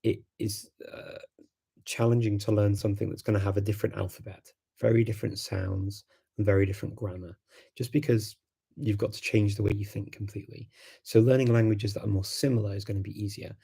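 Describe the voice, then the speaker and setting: A low, gravelly male voice with a mellow, slightly husky tone. He is a livestream host whose first language is Macedonian. He chats easily, thinking aloud and restarting his sentences often. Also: fairly even intonation, brisk. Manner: chatty, thinks aloud with many ums and restarts. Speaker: livestream host answering viewer questions. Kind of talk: casual live chat.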